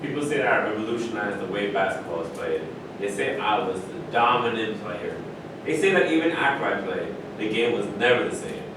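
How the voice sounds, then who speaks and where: A man acts out an opinionated, wry basketball great in a stage play. He talks like a storyteller, pausing for emphasis. The sound is distant and very echoey, over rumbling noise. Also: lively intonation, lightly acted. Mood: wounded, firm.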